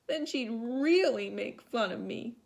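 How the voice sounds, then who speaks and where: A woman voicing an upset, picked-on but plucky young schoolgirl in a storybook, with slow, emphatic words. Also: fairly high pitch, lively intonation, gravelly, pitched above the performer's own voice, exaggerated voice. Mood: tearful, hurt.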